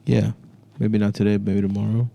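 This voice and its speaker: A man's voice: on the low side, little pitch movement, moderate pace, gravelly, mellow, no room sound. A man bantering casually as a comedy podcast host, joking in slangy, profane language.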